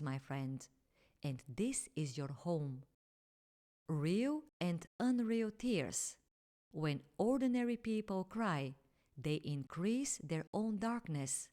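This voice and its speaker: A woman recites spiritual teachings in an unhurried, solemn address. Her voice sits somewhat low, and her phrasing is measured, sinking deeper whenever she turns to the listener as "my friend.". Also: warm tone.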